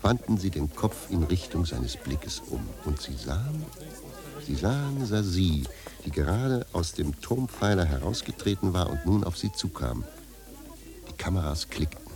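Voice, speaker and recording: A man's voice: low voice, sing-song, measured pace, crowd noise, heavily acted. A man narrating a radio drama as a dry, literary storyteller. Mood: wry, measured.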